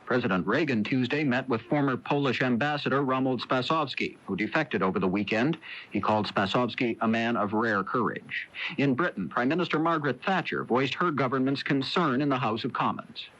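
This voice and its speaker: A male American radio correspondent reads the news from a script at a middling pitch. The sound is dull and lo-fi, like an old broadcast taped off the radio in the 1980s. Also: brisk, fairly bright tone.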